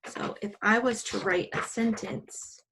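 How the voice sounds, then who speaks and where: A woman teaching a children's English lesson, explaining in simple, deliberate phrases and posing questions to her pupils. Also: mid-range voice, fairly even intonation, fairly bright tone, slight background noise, rough recording.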